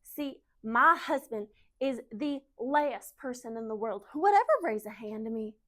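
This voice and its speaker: Casting: woman playing naive young wife, slightly high voice, confiding and halting, emotional stage monologue. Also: lively intonation, fairly bright tone. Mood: defensive, insistent. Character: anxious young Southern wife, naive, defending her husband. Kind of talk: dramatic monologue in speech competition.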